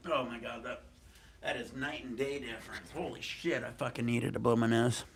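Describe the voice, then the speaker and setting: A man's voice: mid-range voice, fairly even intonation, normal speed, a little hiss or hum. A man with a stuffy, congested nose, livestreaming outdoors on a cruise ship at night through a handheld mic, chatting in a laid-back, profane, joking way. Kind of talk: casual live stream chat. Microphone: handheld microphone.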